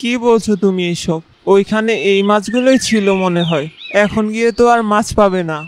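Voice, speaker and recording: A man's voice: sits high, moderate pace, a little hiss or hum, voice pushed up, close to an everyday voice. A man voicing a practical, pious village wife and mother in a cartoon. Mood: doubtful.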